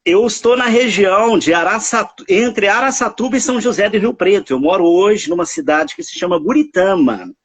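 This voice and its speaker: Lively high-voiced husky Mineiro man. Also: moderate pace, few pauses, dry recording. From Minas Gerais, Brazil. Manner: warm, chatty, animated, frequent tag questions. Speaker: host of a live stream. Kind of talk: casual live-stream chat.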